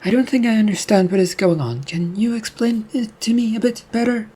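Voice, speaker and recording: Nervous young female camp counselor, voiced by a man, raised pitch, husky edge, anxious questions. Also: a little fast, warm tone. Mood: worried.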